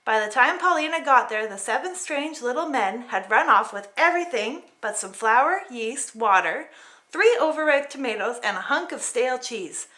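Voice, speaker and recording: A woman narrating a children's story in an expressive read-aloud style. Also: on the high side, moderate pace. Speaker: storybook reader.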